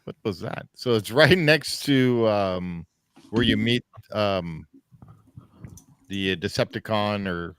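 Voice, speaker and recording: A man's voice: fairly low pitch, slow, fairly bright tone, clean tone, no echo. Hesitant man, dry close sound. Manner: hesitant, searching for words with ums. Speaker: co-host on a live stream. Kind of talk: casual chat.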